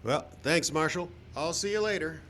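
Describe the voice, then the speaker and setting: A man playing a gruff, needling saloon bartender in a live radio western, dry and teasing. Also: on the high side, moderate pace, lightly acted. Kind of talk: live old-time radio western acting. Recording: loud noise from live outdoor stage.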